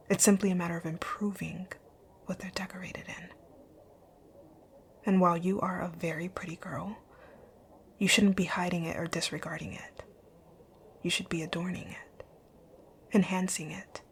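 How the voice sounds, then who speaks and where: A woman acts out a rich, bossy female company owner for an ASMR roleplay. Her voice is low and gravelly with fry, often dropping to a breathy near-whisper. She is composed, curt and quietly flirtatious, over a faint noise floor. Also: flat delivery. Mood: softly admiring. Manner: composed, commanding, deliberate. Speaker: audio roleplay voice actor. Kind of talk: ASMR roleplay, scripted one-sided dialogue.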